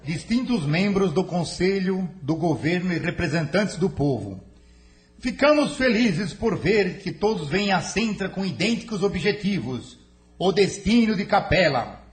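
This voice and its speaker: A man acts out a dignified delegate in an audio drama. His voice is high for a man. He delivers an earnest, solemn address with formal phrasing. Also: expressive, unhurried. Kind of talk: spiritist audio drama acting.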